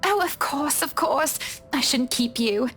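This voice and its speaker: A woman's voice: sits high, expressive, slow pace, fairly bright tone, soft background music, heavily acted. A woman voicing a genteel, affectionate and wry lady of a mansion in a visual novel, with a gracious, proper manner. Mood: gracious, warm.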